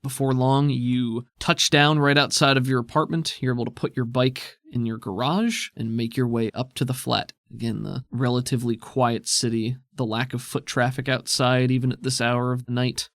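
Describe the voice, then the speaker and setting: A man narrating a tabletop role-playing game as game master, in a relaxed, conversational way. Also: medium pitch, moderate pace, hardly any pauses, no room sound, clean studio sound.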